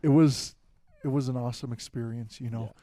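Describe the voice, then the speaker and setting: A young man telling stories in a relaxed podcast chat, with many fillers as a guest. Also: mid-range voice, a little fast, no echo, clean studio sound. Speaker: guest, future pastor. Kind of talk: casual podcast conversation.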